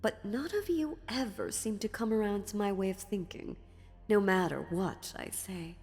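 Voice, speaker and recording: A woman playing an ancient, sly and dominant female demon in a dark fantasy audio roleplay, taunting theatrically with deliberate pauses. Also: medium pitch, close to an everyday voice. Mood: condescending. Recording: long reverb, music underneath.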